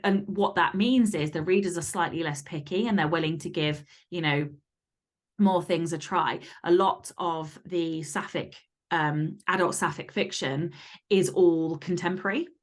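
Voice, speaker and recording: A woman's voice: fairly low pitch, measured pace. A woman chatting casually as an interview guest, candid and blunt, swearing freely. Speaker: podcast guest and fantasy romance author.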